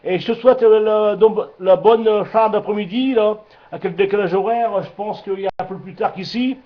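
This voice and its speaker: A man's voice: high-pitched, fairly quick. A man chatting casually as an amateur radio operator, rambling and repeating himself with frequent filler tags. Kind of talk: casual radio contact chat. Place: two-way radio contact. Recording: dull lo-fi two-way radio transmission.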